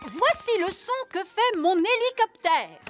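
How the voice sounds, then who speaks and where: A chirpy rabbit pilot. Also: female voice, extremely high pitch, very animated, full character voice. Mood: cheerful. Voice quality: bright, brisk and helpful. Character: busy, cheerful female rabbit helicopter pilot. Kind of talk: dubbed cartoon acting. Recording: cartoon soundtrack under loud music. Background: music.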